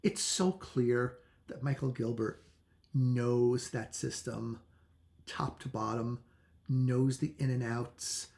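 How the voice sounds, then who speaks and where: Gravelly-voiced man casually reviewing a book. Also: mid-range voice, darkish tone. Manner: halting, self-correcting, conversational.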